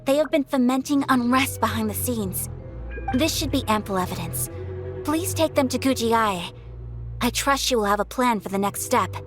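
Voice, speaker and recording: A woman voicing a composed, dutiful young noblewoman who leads with formal, measured earnestness in a video game. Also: fairly high pitch, lively intonation, over music, natural voice. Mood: calm resolve. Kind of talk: video game cutscene voice acting.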